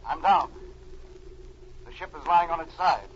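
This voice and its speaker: A brave, decisive agent hero played by a man in a 1940s-style radio adventure. His voice sits high and he speaks in clipped reports, through a muffled, noisy old recording. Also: a little slow, natural voice. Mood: focused. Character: brave, decisive male special agent and diver.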